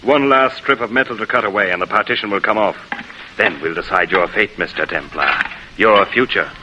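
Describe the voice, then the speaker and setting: A man's voice: fairly low pitch, a little fast, highs cut off, natural voice. An actor playing a greedy, mock-courteous male killer with silky menace, in 1940s radio drama style. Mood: polite menace. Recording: hissy vintage radio recording.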